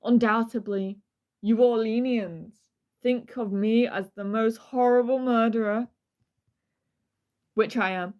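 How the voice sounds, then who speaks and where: A woman with a fairly high voice co-hosts a true crime show. She moves between theatrical reading aloud and loose, playful chat, with an irreverent streak. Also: steady tone, takes it slowly, long pauses, lo-fi sound. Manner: playful, irreverent, swears casually. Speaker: true crime podcast co-host. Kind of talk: dramatic letter reading mixed with casual banter.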